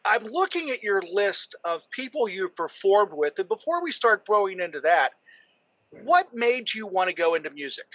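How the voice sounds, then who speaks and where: A man's voice: sits high, big pitch swings, normal speed, a bit bright, no echo, poor audio. A male radio host interviewing a guest in an upbeat, chatty way, laughing easily.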